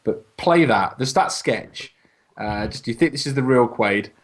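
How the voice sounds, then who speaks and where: A man with a mid-pitched voice talks in casual podcast banter. He is emphatic and sweary, building his points into animated rants among friends. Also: moderate pace. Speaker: podcast co-host.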